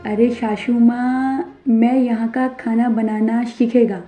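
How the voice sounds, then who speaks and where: A woman voicing a meek young Nepali daughter-in-law in an animated story, speaking slow, hesitant broken Hindi with a Nepali accent. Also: on the high side. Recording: narrow phone-like sound over music.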